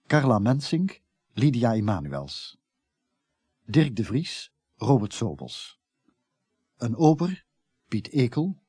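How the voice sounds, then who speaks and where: A man reading scripted closing credits as a radio announcer in a formal, measured manner. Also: medium pitch, warm tone, husky, no room sound, studio quality.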